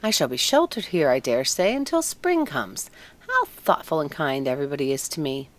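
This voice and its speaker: A woman's voice: sits low, very animated, normal speed. A woman voicing an anxious, wistful young fir tree in a storybook, earnest and musing. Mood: lonely, wistful. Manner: musing, earnest, self-absorbed. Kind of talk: storybook character voice.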